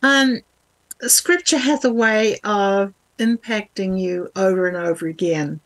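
An older woman from New Zealand with a mixed international accent, speaking as a podcast guest in a measured, earnest way. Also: medium pitch, poor audio. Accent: international, not typically Kiwi. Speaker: guest, Bible translator. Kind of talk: interview answers.

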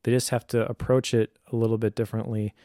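A man's voice: fairly low pitch, flat delivery, fast talker, dark tone, no room sound, clean studio sound. A middle-aged man speaking casually and thoughtfully, explaining advice as a parent. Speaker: homeschooling parent giving advice.

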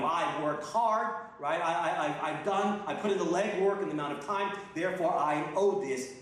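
A middle-aged man, from the Philippines and raised in Texas, preaching a sermon as a guest pastor in a casual way with many fillers and restarts. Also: a little high. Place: church sanctuary. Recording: distant mic in an echoey hall.

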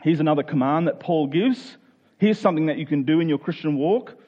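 A man with a somewhat high-pitched voice delivers a sermon in everyday language. He is earnest, asking questions of his listeners and rephrasing points for emphasis. The recording is a little dull and lo-fi. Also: fairly quick. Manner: earnest, rhetorical questions, restating phrases for emphasis. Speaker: preacher. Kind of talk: sermon, explaining a Bible passage in plain conversational words.

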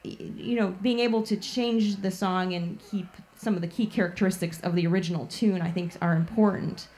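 A woman's voice: lowish voice, steady tone, fairly quick, a little mellow, low-quality recording. Chatty woman blues musician, interviewed. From Chicago, USA. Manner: chatty, many fillers like "like" and "you know". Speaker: blues guitarist and singer, guest. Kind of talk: casual interview chat.

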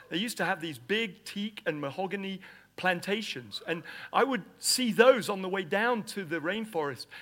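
A middle-aged man from Nigeria preaches with a lively, storytelling delivery. His voice sits high for a man and sounds bright and forceful. He acts out each scene as he tells it. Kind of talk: storytelling sermon.

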